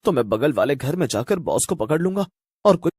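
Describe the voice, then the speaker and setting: A man's voice: on the high side, sing-song, fairly quick, darkish tone, breathy edge, dry recording. A man voicing an earnest, worried young husband in a cartoon horror story. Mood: urgent planning.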